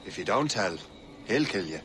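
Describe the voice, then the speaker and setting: A man's voice: deep voice, very animated, normal speed, fairly bright tone, slight background noise. A man playing a cocky, wry rogue hunter in a video game, with a dry, understated delivery. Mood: matter-of-fact.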